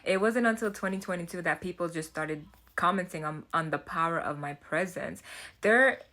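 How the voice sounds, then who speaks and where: A woman with a Haitian accent speaks in a notably low register, her tone bright and forward. She talks to camera in an earnest, chatty way, circling back and repeating words as she thinks aloud. The delivery is relaxed and personal, like a vlogger sharing advice. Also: moderate pace. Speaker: self-development vlogger. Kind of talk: casual talk to camera.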